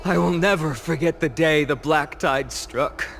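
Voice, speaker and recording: A man's voice: fairly high pitch, unhurried, gravelly, a bit bright, a little hiss or hum. An actor playing an impassioned young male orator haunted by loss, speaking theatrically and earnestly in a fantasy video game. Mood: grieving, solemn. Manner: theatrical, earnest, emphatic rhetorical questions.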